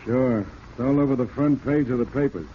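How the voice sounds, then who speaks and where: An actor playing a shrewd, sardonic police detective who probes calmly and wryly, in 1940s radio drama style. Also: male voice, medium pitch, moderate pace, close to an everyday voice. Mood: matter-of-fact. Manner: wry, leading questions. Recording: muffled, hissy old radio recording.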